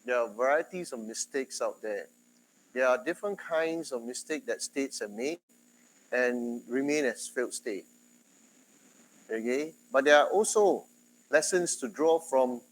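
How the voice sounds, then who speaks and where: A man with a Singaporean English accent lectures in a talkative, lively manner, his voice sitting at an ordinary middle pitch. There is a faint hum of background noise in the room. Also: unhurried, long pauses, rough recording. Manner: chatty, animated, quotes others in dialogue. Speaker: university lecturer.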